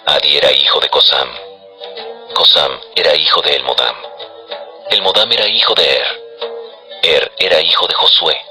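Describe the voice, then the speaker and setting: A man with an extremely low voice narrates a dramatized Bible story. His delivery is measured and declarative, with a crisp, forceful edge, and faint music plays beneath him.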